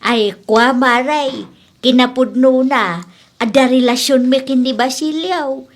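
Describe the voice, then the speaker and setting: Frank older widow, higher voice, woman acting. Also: slow. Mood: frank, playful. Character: older widowed mother, candid about late-life romance. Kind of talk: Ilocano comedy radio drama acting.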